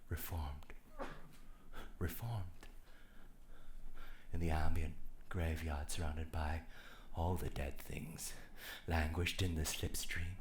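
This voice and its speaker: A man's voice: very deep voice, fairly even intonation, takes it slowly, pauses often, a little hiss or hum. A man performing a poetic monologue live on a theatre stage before an audience. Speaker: performance artist.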